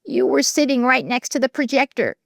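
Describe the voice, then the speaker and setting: A wounded schoolteacher whose voice shakes and nearly chokes on her words, as a woman reads her out. She speaks high above a plain reading voice. The audiobook sound is dry and close. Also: sing-song, a little fast, creaky, dry recording, full character voice. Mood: hurt, shaky. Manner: trembling, near tears. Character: hurt, shaken middle-aged female schoolteacher. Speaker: teacher.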